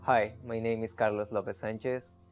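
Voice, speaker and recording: A young man with a Guatemalan accent, speaking a second language haltingly and simply as he tells his own story. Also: lowish voice, flat delivery. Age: young adult. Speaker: scholarship honoree. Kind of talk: personal story in a documentary video. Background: guitar music.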